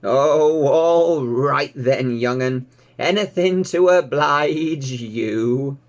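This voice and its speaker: A man voicing a grumbling but obliging old ewe in a storybook, with a drawn-out, rustic manner. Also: mid-range voice. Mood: resigned.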